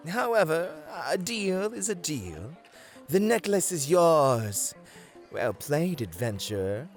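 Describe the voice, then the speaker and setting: A man's voice: fairly high pitch, very animated, takes it slowly, soft background music, pitched above the performer's own voice, full character voice. A man voicing an enchanted, elegant satyr in a fantasy roleplay, with a grandiose, gushing, theatrical manner. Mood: gracious. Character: enchanted, elegant male satyr, delighted by magic tricks.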